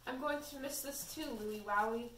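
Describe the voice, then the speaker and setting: A woman's voice: fairly high pitch, normal speed, a bit bright, natural voice. A woman voicing a tender, worried teenage boyfriend in an amateur fan fiction reading. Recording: roomy, distant mic, faint noise.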